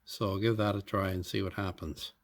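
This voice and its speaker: A man with a fairly low voice talks through hands-on repair work. He explains each step in an unscripted way, thinking aloud in short, halting phrases. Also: little pitch movement. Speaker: hobbyist radio repairer.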